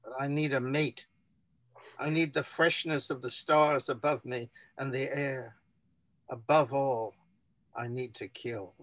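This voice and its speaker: A man giving a dramatic, measured reading of a literary text. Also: mid-range voice, steady tone, fairly bright tone, no echo.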